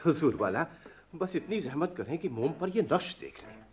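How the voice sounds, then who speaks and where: An actor playing a shrewd royal envoy in a radio play, courtly, persuasive and obsequious, on a dull recording. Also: male voice, on the high side, very animated, normal speed, a little mellow. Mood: pleading, urgent. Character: shrewd, deferential royal envoy posing as healer. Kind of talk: Hindi-Urdu radio play acting.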